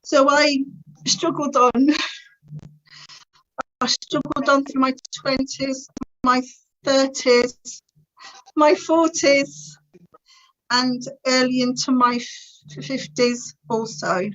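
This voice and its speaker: A woman's voice: high voice, steady tone, slow, pauses often, fairly bright tone, lo-fi sound. A woman in her fifties giving a personal conference talk over a video call, stammering with repeated sounds and blocks. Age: 50s. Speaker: conference speaker.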